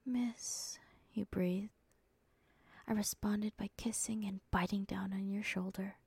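A woman whispering an erotic story softly and dominantly into a close mic. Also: medium pitch, vocal fry, slightly rough, dry recording. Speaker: erotic audio narrator.

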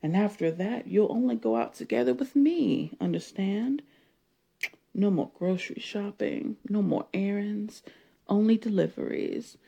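A woman voicing a possessive, domineering wife in a solo audio roleplay, sultry and moving between whispers and loud declarations. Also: mid-range voice, unhurried, creaky, slightly rough, highs cut off. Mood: tender, soothing.

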